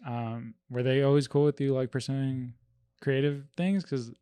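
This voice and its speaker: A man's voice: medium pitch, steady tone, unhurried, warm tone, dry recording. An American man hosting a podcast and asking questions in a casual, chatty way. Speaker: podcast host. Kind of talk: casual interview chat. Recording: clear background noise.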